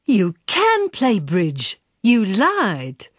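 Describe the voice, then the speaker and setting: A stern, suspicious woman acted by a female reader in a radio short story. She is sharp and commanding, with lively swings of pitch. The recording is close and dry. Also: drawn-out words, no room sound, exaggerated voice. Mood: accusing. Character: stern, suspicious woman in sheltered housing questioning her robot.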